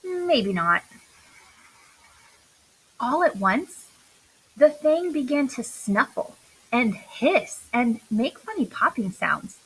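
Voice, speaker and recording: Bright, animated woman reading a story. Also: high-pitched, slightly rough, low-quality recording. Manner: expressive, deliberate storytelling delivery. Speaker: storybook reader. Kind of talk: scripted children's story narration read aloud.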